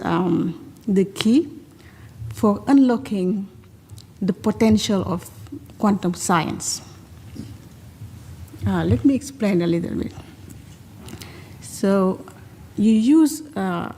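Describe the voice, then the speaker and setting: A woman from Senegal, a mathematician on a conference panel, speaking in a slow, measured way with many pauses. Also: medium pitch, dark tone, slight background noise. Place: large conference hall. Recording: reverberant hall with faint ambience.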